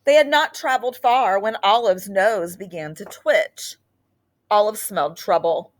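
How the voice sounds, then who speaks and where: A woman storyteller reads a picture book to children. Her delivery is animated and expressive, lingering on important words, at a middling pitch. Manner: animated, drawn-out emphasis on key words. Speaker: read-aloud storyteller.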